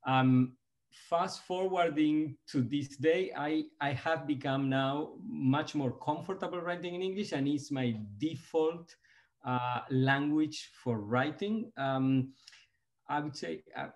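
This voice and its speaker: Middle-aged man with Argentine accent. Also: mid-range voice. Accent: Argentine Spanish. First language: Spanish. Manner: hesitant, many ums and restarts. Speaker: author guest. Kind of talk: conversational book talk on video call. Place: office with bookshelves, indoors. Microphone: video call webcam.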